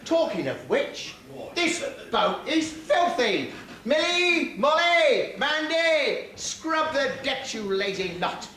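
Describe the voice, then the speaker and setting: A man's voice: very high-pitched, big pitch swings, slow pace, bright, forceful tone, heavily acted. A man playing a bawdy, brash pantomime dame with a British accent, broadly playing to the audience on stage. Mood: bossy, wheedling. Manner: broad, exclamatory, plays to the audience. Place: theatre stage, audience behind. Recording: echoey theatre hall with audience noise.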